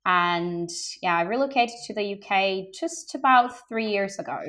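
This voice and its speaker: A woman's voice: medium pitch, moderate pace, fairly bright tone. A young woman, born in Bulgaria and raised in Greece, answering interview questions as a game industry recruiter in an open, enthusiastic way with frequent ums.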